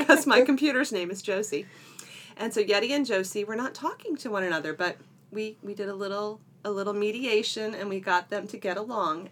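A woman's voice: mid-range voice, a bit bright. A middle-aged woman co-hosting a podcast in a casual, chatty style with a playful, bantering manner. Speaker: podcast co-host.

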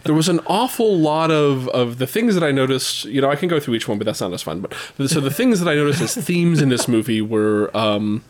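A man chatting casually about an old movie, with a mid-pitched voice and a loose, joking delivery. He hesitates, restarts phrases, and laughs often. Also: animated, a little fast, hardly any pauses. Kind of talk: casual film discussion.

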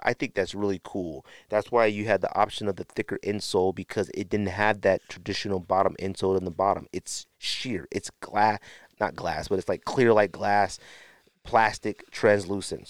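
Low-voiced man, clear podcast talk. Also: no echo, clean studio sound. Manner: rambling, self-correcting, unscripted. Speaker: sneaker podcast host. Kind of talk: casual show-and-tell talk to camera.

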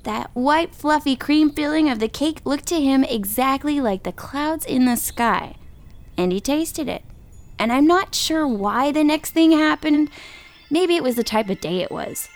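Excitable high-pitched storytelling kid. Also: female voice, unhurried. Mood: building excitement. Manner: theatrical, exuberant, acts out voices. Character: excitable young child telling tall tales about dads. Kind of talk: animated children's storytelling.

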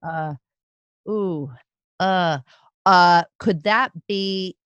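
A woman's voice: fairly low pitch, very slow, fairly bright tone, clear, resonant tone, no room sound, lo-fi sound. A woman in a casual podcast chat, playfully thinking aloud as she guesses quiz answers.

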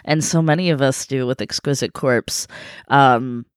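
A low-pitched woman chats casually as a podcast co-host, laughing easily and sounding a bit phlegmy. The sound is dry and close, with no room around it. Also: unhurried, clear, resonant tone, no echo. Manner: chatty, laughs often, swears casually. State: congested, phlegmy from illness. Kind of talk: casual chat.

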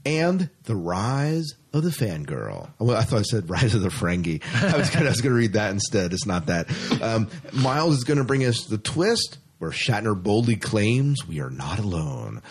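A man's voice: on the low side, big pitch swings, normal speed, hardly any pauses, slight background noise. A man hosting a podcast in casual, enthusiastic chat, stressing points and often repeating himself. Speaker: podcast host.

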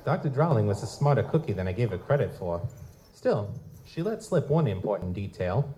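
A man's voice: fairly low pitch, very animated, brisk, dark tone. A man playing a wry, wisecracking goblin private eye in a hard-boiled noir audio drama. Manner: wry, feigns hesitant politeness. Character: wisecracking male lutin goblin private investigator, narrating noir-style. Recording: noisy live stage recording.

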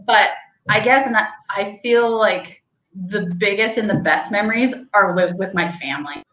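A woman's voice: mid-range voice, normal speed, sharp tone, roomy sound, lo-fi sound. A young woman, a hunting guide and outfitter, telling stories in a casual, rambling way with lots of "like" and light laughter. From Canada. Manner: chatty, rambling, with frequent likes and laughs. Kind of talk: casual interview storytelling.

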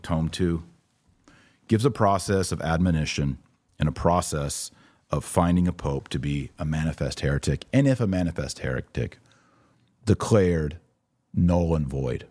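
A man with a deep, slightly husky voice hosts a live religious commentary show. He speaks emphatically and repeats short phrases to drive a point home. The sound is close and dry. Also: a little mellow, no room sound. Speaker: podcast host and religious commentator. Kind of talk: live commentary answering viewer questions.

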